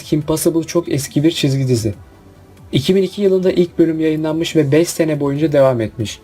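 A man's voice: fairly high pitch, mellow. A man narrating a scripted countdown review. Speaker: video narrator. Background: background music.

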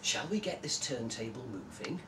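Casting: male reader as female museum guide, cheerful, measured, explaining patiently, heard from off in an echoey room. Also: medium pitch, sing-song, lightly acted. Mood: encouraging. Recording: distant, echoey room with background noise.

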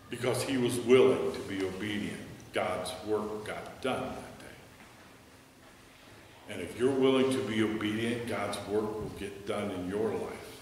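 A middle-aged American man, a pastor preaching earnestly from a church pulpit, then leading a hushed prayer. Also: fairly low pitch, a little hiss or hum. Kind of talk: sermon and altar call. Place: church sanctuary, standing at a pulpit. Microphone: headset mic. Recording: echoey church hall, from a distance.

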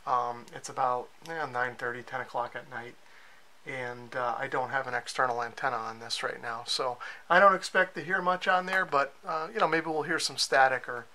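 A man giving a casual, low-key and hesitant hands-on product walkthrough as a reviewer in a cellar. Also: normal speed, sharp tone. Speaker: radio reviewer. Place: cellar with concrete walls.

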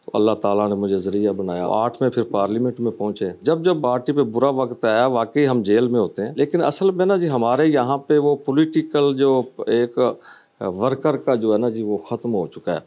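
Earnest mellow-voiced politician. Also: male voice, medium pitch, normal speed. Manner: earnest, speechlike. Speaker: politician guest. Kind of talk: talk show answer. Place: TV studio.

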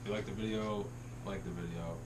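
A young man barber answering questions in a halting, hesitant way in a small bathroom. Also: sits low, steady tone, noisy background. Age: young adult. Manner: hesitant, halting, laughs often. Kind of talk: casual interview answers.

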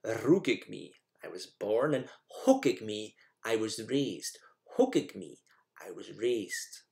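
A man's voice: on the low side, gravelly. A man presenting a language lesson in an informal, instructional manner, guiding learners through slides and examples.

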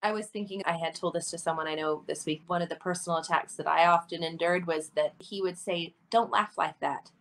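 A woman's voice: on the low side, steady tone, fairly quick, few pauses, poor audio. A woman in her forties co-hosting a podcast over a video call, talking earnestly and laughing often as she tells stories. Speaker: podcast co-host. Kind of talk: casual conversational chat. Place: home room, video call.

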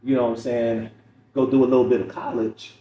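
A man's voice: medium pitch, level tone, brisk, dark tone, muffled recording. A middle-aged American man from Chicago, a comedian telling stories conversationally as an interview guest, replaying dialogue as he goes. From Chicago, United States. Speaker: stand-up comedian, podcast guest. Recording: roomy, distant mic, faint noise.

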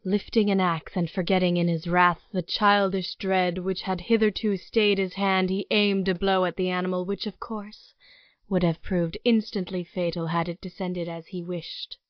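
Female narrator for audio drama: lowish voice, formal, deliberate storytelling, close dry sound. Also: little pitch movement, no room sound, muffled recording.

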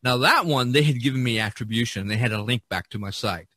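A man hosting a casual podcast discussion, speaking haltingly and often repeating words and phrases. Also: medium pitch, bright, forceful tone. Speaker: podcast host.

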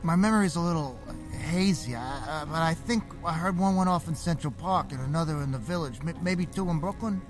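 Injured male conductor, played by a man for audio drama: dazed, halting, higher register, scored underneath. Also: music in the background, lightly acted, low-quality recording. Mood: shaken. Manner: stammering, hesitant.

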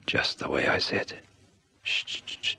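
An actor playing a steady, wry police officer in a vintage radio drama, speaking tersely. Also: male voice, normal speed, a little hiss or hum. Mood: tense, hushed.

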